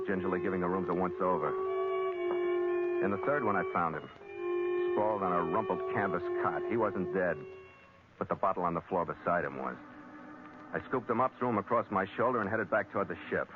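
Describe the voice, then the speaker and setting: Guy playing a hard-boiled ship captain, talks quick, dry and tough, tinny 1940s radio show. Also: low-pitched, lightly acted. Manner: clipped, hard-boiled, wry delivery. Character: tough, hard-boiled male ship's skipper, brisk and wry. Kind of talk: 1940s radio drama acting and narration. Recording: thin 1940s radio recording, loud music.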